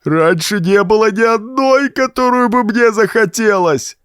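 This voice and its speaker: A man's voice: sits high, a bit bright, smooth, dry recording, clean studio sound, pitched above the performer's own voice. A man voicing a big, simple-minded, deranged young brute in an audiobook, bellowing threats in a strained, shrieking voice. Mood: shrill frenzy. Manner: bellowing, howling threats in slow bursts.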